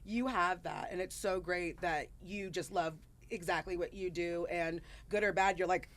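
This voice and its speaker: A woman's voice: fairly low pitch, fairly even intonation, normal speed. A woman hosting a podcast interview who speaks in an upbeat, encouraging way with casual slang. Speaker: podcast host.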